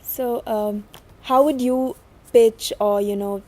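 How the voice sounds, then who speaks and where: A woman's voice: a little high, fairly even intonation, takes it slowly, mellow. A woman interviewing a student, asking questions and often echoing his words back in short replies. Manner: frequent short echoing backchannels.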